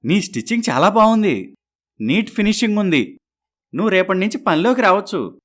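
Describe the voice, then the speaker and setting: An actor as a lively-pitched man in a story. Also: fairly quick. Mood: businesslike. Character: men around the heroine: doctor, boutique owner, meek husband. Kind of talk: dramatised moral story voice acting.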